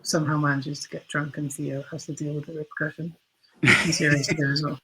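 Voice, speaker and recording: A man as a podcast guest, talking hesitantly with many pauses and fillers. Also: a little high, flat delivery, background noise. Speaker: guest writer. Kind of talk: casual interview chat.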